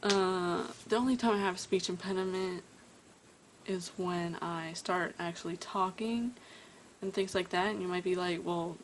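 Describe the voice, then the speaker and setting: Tired woman, lower voice, talking casually to camera at home with frequent stutters and mid-sentence stops. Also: fairly even intonation, normal speed, slight background noise, rough recording. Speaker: vlogger. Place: at home indoors.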